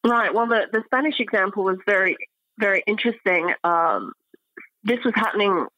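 A woman speaking as an academic guest in an interview, explaining things in a measured, scholarly manner. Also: medium pitch, lively intonation, pushed and bright, no echo, lo-fi sound. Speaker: guest academic, archaeology professor.

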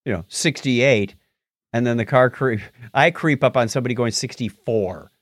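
A man's voice: mid-range voice, normal speed, no room sound. A man co-hosting a podcast, chatting casually with a joking, playful manner. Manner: joking, lively, playful asides. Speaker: podcast co-host. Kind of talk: casual banter.

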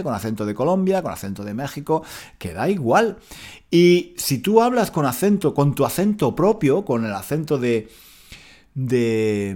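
A man from Spain with a Spanish accent, teaching learners of Spanish in a relaxed spoken lesson, repeating key points and using fillers. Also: medium pitch.

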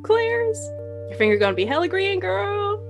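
A woman's voice: extremely high pitch, very animated, normal speed, vocal fry, soft background music. A woman narrating with brash, sarcastic comedic asides. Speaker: narrator and wisecracking commentator.

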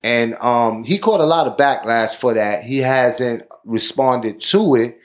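Male podcast host, slow pace, deliberate, preaching tone. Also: mid-range voice. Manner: deliberate, preaching tone, frequent "you know". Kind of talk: podcast commentary.

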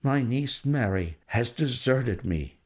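A man's voice: mid-range voice, expressive, takes it slowly. A man voicing a distraught older male banker who pleads and exclaims anxiously. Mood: grieving.

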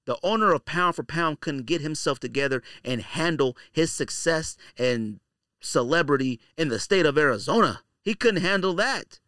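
A man's voice: high voice, sharp tone, clean tone, no echo, studio quality. A man hosting a podcast in a casual, opinionated monologue, blunt and slangy, repeating phrases for emphasis. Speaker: podcast host.

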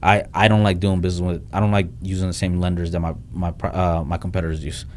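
Fast-talking deep-voiced man, flat delivery. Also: a little hiss or hum. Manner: blunt, restarts often, says "like" and "man". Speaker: real estate investor guest. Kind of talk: casual podcast interview talk.

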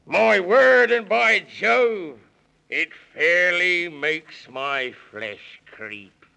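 Genial, puffed-up British walrus gent, 1930s children's radio; high-pitched, gravelly, rhyming delivery. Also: male voice, lively intonation, drawn-out words, pushed and bright, exaggerated voice. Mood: curious, blustery. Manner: pompous, exclamatory, speaking in rhymes. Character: blustery, pompous, genial British walrus gentleman. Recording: vintage 1930s radio recording.

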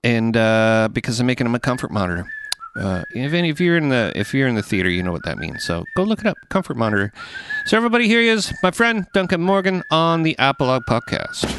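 A man's voice: medium pitch, sing-song, fast talker, noisy background. A middle-aged man from England hosting a podcast, chatting casually with many fillers. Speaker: podcast host.

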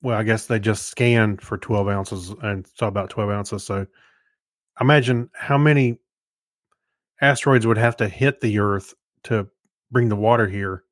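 A man's voice: fairly low pitch, fairly even intonation, unhurried, dry recording, clean studio sound. A man in casual conversation as a podcast co-host, tentatively thinking aloud. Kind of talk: casual chat.

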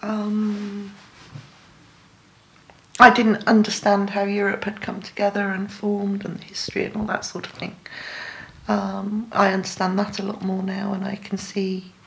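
A woman's voice: mid-range voice, completely flat delivery, creaky, low-quality recording. English woman talking thoughtfully. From England. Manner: thoughtful, measured, frequent ums. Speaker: interviewee. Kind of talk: casual interview chat.

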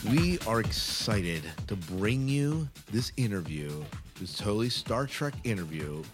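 A man's voice: on the low side, sing-song, unhurried, over music. A man hosting a podcast, talking casually and introducing an interview. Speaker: podcast host.

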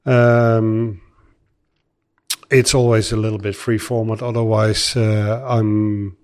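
A man's voice: fairly low pitch, level tone, slow, a little mellow. Man with a Dutch accent, easygoing. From southern Netherlands. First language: Limburgish. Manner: easygoing, loosely phrased non-native English. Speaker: guest. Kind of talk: casual chat. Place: chocolate factory.

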